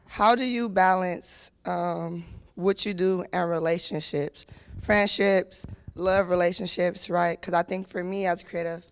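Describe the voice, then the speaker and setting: A hoarse woman from the audience asking a long, chatty question. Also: lowish voice, steady tone, unhurried. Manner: chatty, rambling.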